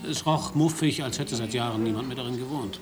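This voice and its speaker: A man's voice: medium pitch, brisk, natural voice. An actor playing a dry, ironic male American radio reporter in a radio play. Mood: narrating. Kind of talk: radio play narration and dialogue.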